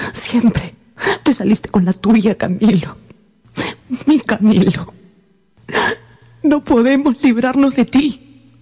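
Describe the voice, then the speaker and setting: High-voiced, anguished, guilt-ridden lover. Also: female voice, dark tone, husky, no echo, full character voice. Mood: bitter, despairing. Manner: intense, emotional, melodramatic delivery. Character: anguished, guilt-ridden woman, murderer's lover, haunted and desperate. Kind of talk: Spanish-language radio drama acting.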